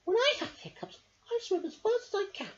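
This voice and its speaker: An excitable, friendly fish from a children's story. The voice is tiny and very high, exclaiming in a swooping sing-song, eager to help. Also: female voice, fairly quick, muffled recording. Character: excitable friendly angel fish, squeaky and helpful.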